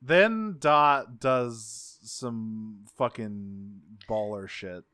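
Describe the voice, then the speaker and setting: A man's voice: medium pitch, very slow, pushed and bright. A man co-hosting a podcast, casually recapping a cartoon episode with enthusiasm and frequent swearing. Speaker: podcast co-host.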